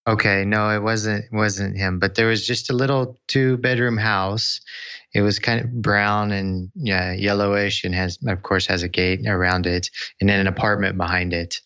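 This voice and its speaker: Interview host with a crisp, bright voice, friendly and chatty, heard on lo-fi call audio. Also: male voice, on the low side, steady tone, moderate pace. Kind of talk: casual interview.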